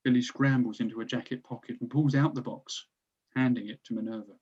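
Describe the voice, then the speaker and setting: A man's voice: medium pitch, fairly even intonation, brisk, darkish tone, breathy edge, lo-fi sound. A man reading stage directions as scripted narration for a screenplay table read.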